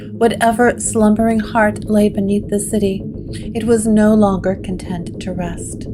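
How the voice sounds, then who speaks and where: A woman narrating a gothic horror story with measured, atmospheric delivery. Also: medium pitch. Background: guitar music.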